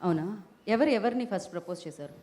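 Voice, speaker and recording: A woman's voice: mid-range voice, animated, fast, background noise. A woman hosting a live film event, chatty and full of teasing, playful banter. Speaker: event anchor.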